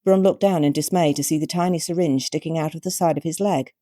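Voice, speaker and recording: A British woman narrating her own short story with measured, wryly expressive storytelling. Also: deep voice, no room sound, studio quality. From Britain.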